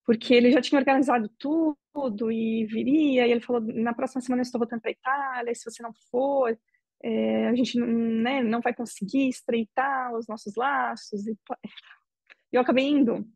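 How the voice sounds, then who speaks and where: A Brazilian woman interviewee telling her personal story in a measured, reflective way, often ending phrases with a questioning tag. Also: a little high, steady tone, gravelly, a little mellow, breathy edge, dry recording. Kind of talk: emotional personal testimony.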